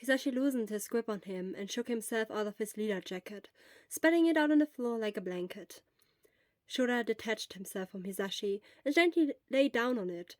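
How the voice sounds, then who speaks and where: A woman reading a piece of fan fiction aloud in slow, even storytelling narration. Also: medium pitch, no echo. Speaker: podfic reader.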